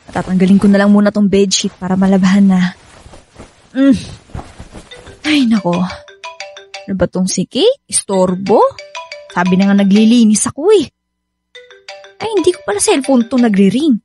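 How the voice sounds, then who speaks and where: A woman's voice: mid-range voice, moderate pace, pauses often, dark tone, lightly acted. A woman playing a hardworking, nagging and hurt wife and mother in a Filipino radio drama, emotional and scolding. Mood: weary, casual. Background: background music.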